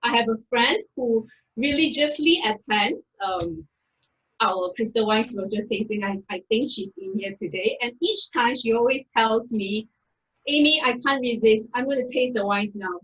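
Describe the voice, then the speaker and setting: A woman hosts an online wine tasting in a chatty way, with plenty of fillers. Her voice is fairly high. The sound is dull and lo-fi, as on a video call. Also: normal speed, fairly bright tone. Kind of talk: friendly event introduction.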